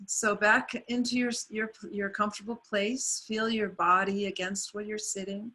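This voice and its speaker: A woman leading a guided meditation on a video call, speaking softly and soothingly. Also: mid-range voice, level tone, rough recording. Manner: soft, unhurried, soothing.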